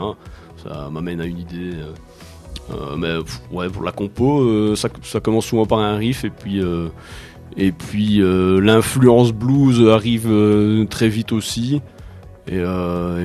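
A man's voice: lowish voice, a little mellow. A French-speaking man, a band's songwriter, talking casually in an interview with many fillers and restarts. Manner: hesitant, many fillers and restarts, laughs easily. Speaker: musician, band songwriter.

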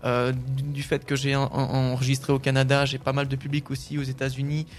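A man's voice: mid-range voice, monotone, a little fast. A French-speaking man, a singer-songwriter, answering interview questions casually with many fillers and restarts.

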